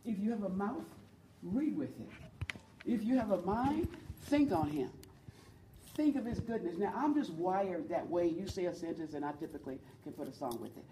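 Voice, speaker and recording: A woman with a low voice teaches a group about leading worship, earnest and encouraging as she draws her listeners in. She is heard from across the room, the sound roomy and carrying a faint hum of ambience. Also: lively intonation, normal speed, warm tone, recorded from a distance. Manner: earnest, encouraging, speaks to the audience and invites replies. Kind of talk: church teaching talk.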